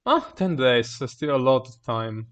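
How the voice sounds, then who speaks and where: A man's voice: mid-range voice, animated, moderate pace, fairly bright tone. Man casually commentating on his game stream.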